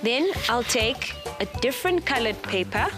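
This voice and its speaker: A cheerful woman hosts a children's program and guides young viewers through a craft one step at a time. Her voice sits a little high, and she speaks with patient warmth. Music plays clearly underneath her. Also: animated, moderate pace. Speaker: children's TV presenter.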